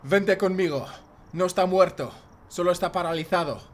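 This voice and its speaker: An actor playing a brave, guarded vampire slayer in an audio roleplay, terse and defiant. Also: male voice, sits high, fairly quick, slight background noise, lightly acted. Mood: urgent. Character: brave, gruff mysterious Spanish male vampire slayer. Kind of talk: cinematic audio roleplay acting.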